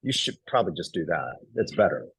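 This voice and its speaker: A man's voice: low voice, brisk, breathy edge, poor audio. A middle-aged man from Hong Kong, an actor and writer, telling stories in a casual interview with lots of fillers and restarts. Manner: animated storyteller, many fillers and restarts.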